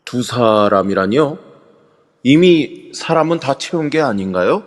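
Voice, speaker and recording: A man playing a composed male exorcist who speaks slowly and formally in an amateur audio drama. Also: medium pitch, lively intonation, close to an everyday voice. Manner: slow, measured and formal. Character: calm middle-aged male exorcist in hanbok. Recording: reverb-heavy online audio.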